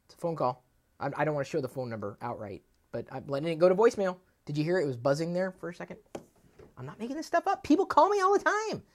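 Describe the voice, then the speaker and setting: Animated, exasperated man hosting a tech show. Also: a little high. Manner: animated, griping, comically exasperated. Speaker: host. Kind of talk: casual tech commentary on a live show.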